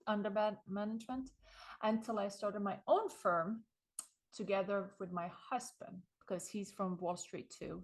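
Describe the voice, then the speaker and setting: A middle-aged woman from Sweden with a Swedish accent, being interviewed and telling her story in a lively way with frequent small laughs. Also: mid-range voice. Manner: animated storytelling, chuckles often. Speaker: guest author. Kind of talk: conversational interview.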